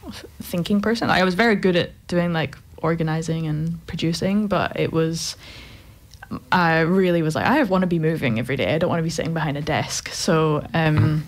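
A woman's voice: low voice, a little fast. A young woman from Scotland with a faint Scottish accent, a puppet maker chatting casually as a guest in a radio studio interview. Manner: chatty, rambling, laughs easily.

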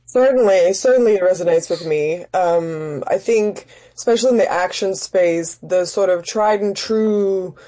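Mellow-voiced woman actress being interviewed. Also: lowish voice. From Denmark. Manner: thoughtful, measured, searching for words. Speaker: actress and writer, interview guest. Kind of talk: interview answers.

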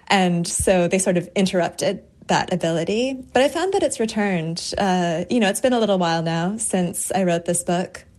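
Thoughtful low-voiced woman guest. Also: darkish tone. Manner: thoughtful, articulate, laughs easily. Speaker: poet and author, guest. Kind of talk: radio interview.

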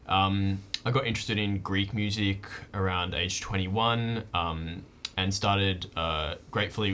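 A young man, a violinist, answering interview questions in a relaxed, hesitant way with many fillers. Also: low-pitched, a little hiss or hum.

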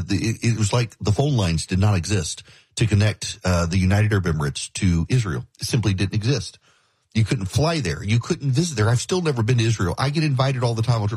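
Low, crisp-voiced middle-aged male radio host. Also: darkish tone, no room sound. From grew up in Dubai. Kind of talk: conversational radio monologue with news commentary.

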